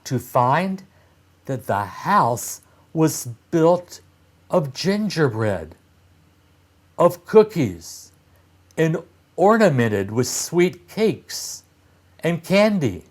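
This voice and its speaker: A man reads a children's fairy tale at a very unhurried pace. His mid-pitched voice rises and falls in a lively way, with pauses and emphasis between short phrases. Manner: deliberate phrasing with frequent pauses and expressive emphasis. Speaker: storyteller reading aloud to young children. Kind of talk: scripted read-aloud of a fairy tale.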